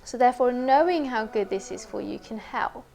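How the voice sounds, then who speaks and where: A woman teacher leading a guided meditation in a gentle, unhurried, conversational way. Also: on the high side, creaky.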